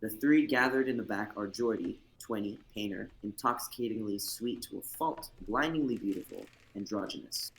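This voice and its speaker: Young guy reading stage directions on a stream, lowish voice, bit of background noise. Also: normal speed, creaky, hardly any pauses, a bit bright, low-quality recording. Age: young adult. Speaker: host and narrator. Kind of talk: scripted narration of a screenplay on a livestream.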